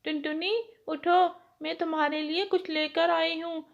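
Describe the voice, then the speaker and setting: A woman's voice: extremely high pitch, level tone, unhurried, creaky, highs cut off, exaggerated voice. A woman voicing a kind, helpful fairy in a children's cartoon story, explaining things in a reassuring way.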